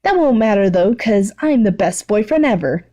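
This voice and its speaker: Story reading: brash teenage boy, short temper, cocky, gruff manner acted by a woman. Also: medium pitch, lively intonation, moderate pace, mellow, pitched above the performer's own voice, natural voice. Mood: boastful. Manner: boastful, gruff. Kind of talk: fan fiction read aloud.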